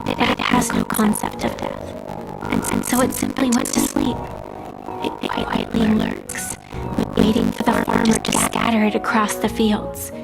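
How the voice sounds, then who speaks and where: A woman's voice: medium pitch, huge pitch swings, measured pace, vocal fry, full character voice, low-quality recording. A woman voice-acting a bold, perceptive young traveler in a video game. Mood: grave, ominous. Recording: music behind the voice.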